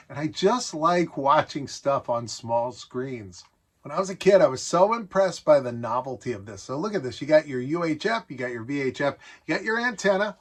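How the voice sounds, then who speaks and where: Higher-voiced middle-aged American man chatting casually. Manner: enthusiastic, conversational, self-amused asides. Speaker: host showing a vintage electronics collection. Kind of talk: casual nostalgic show-and-tell monologue.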